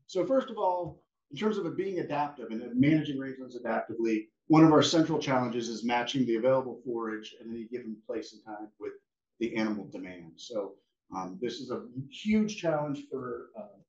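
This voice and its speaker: A man gives a relaxed academic seminar, pausing often with fillers and restarting his sentences. He sounds set back from the mic in a roomy lecture hall. The recording is a little muffled. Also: medium pitch. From United States. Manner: casual, halting, many fillers and restarts. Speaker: guest speaker, rangeland scientist. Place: lecture room. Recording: echoey room audio from a distance, dull.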